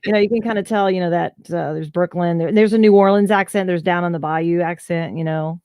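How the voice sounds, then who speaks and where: A woman's voice: on the low side, steady tone, brisk, a little mellow. A woman voice actor, telling stories in a casual interview over a video call. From Southern United States. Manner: chatty, storytelling, lots of fillers. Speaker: voice actor guest.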